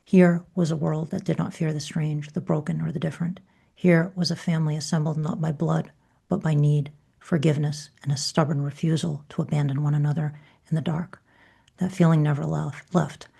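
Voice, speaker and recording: A woman's voice: sits low, flat delivery, normal speed, vocal fry, warm tone, husky. A woman hosting a podcast, talking conversationally and reading passages aloud from a book. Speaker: podcast host.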